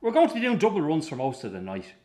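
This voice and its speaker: An actor playing a weary, patient but strained Irish circus manager in a radio drama. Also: male voice, on the high side, huge pitch swings, fast, darkish tone. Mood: exasperated. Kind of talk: radio drama acting with narration.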